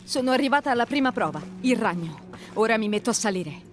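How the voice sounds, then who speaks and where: A woman's voice: a little high, expressive, fast, slight background noise, close to an everyday voice. A woman playing a determined young adventurer in an Italian-language video game. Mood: tense, focused.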